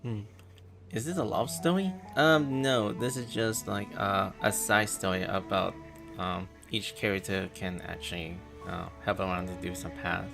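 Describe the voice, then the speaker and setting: A man's voice: sits low, normal speed, gravelly. A man with a Filipino accent, rambling hesitantly over a game livestream. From Philippines. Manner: rambling, hesitant, many fillers. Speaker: streamer. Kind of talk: casual livestream chat. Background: game music.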